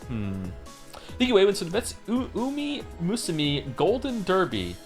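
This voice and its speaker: A man streamer from Wales reading out chat messages in casual talk, with quick wry asides. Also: high voice, huge pitch swings, faint music behind.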